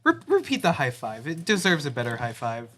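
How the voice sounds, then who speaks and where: A man's voice: mid-range voice, huge pitch swings, brisk, noisy background. A man hosting a casual group chat, hesitantly trying to steer the conversation. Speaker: host.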